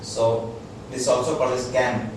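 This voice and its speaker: A man's voice: mid-range voice, monotone, moderate pace. A man with an Indian accent, a doctor giving an informal lecture to a small group, explaining in short repeated phrases and checking that his listeners follow. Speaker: doctor teaching integrative medicine. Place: room with a small audience. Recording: echoey room, distant mic, noisy.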